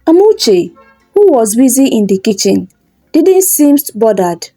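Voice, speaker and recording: A woman's voice: on the high side, big pitch swings, a little slow, warm tone, dry recording, faint music behind. A woman narrating a scripted folktale as a storyteller.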